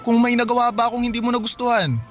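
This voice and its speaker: A man's voice: very high voice, flat delivery, racing, faint music behind. A man acting a devoted, clingy young boyfriend in a Filipino radio drama, earnest and anxious. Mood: hurt, pleading. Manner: pleading, earnest.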